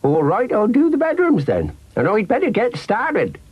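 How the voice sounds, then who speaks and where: Man playing a high-voiced, fussy old grandmother. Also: wildly swooping pitch, normal speed, slightly rough, highs cut off, heavily acted. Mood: puzzled, obliging. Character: fussy elderly grandmother, easily puzzled, willing housekeeper.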